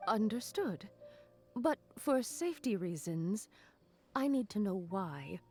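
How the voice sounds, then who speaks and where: A woman's voice: mid-range voice, sing-song, slow, darkish tone. A woman voicing a polite, helpful clerk in a video game, speaking courteously and formally. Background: game music.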